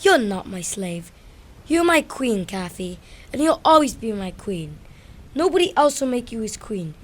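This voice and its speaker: A woman's voice: on the high side, big pitch swings, measured pace. A woman playing a proud, brooding, wounded young orphan boy in an audio drama. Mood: sullen, devoted.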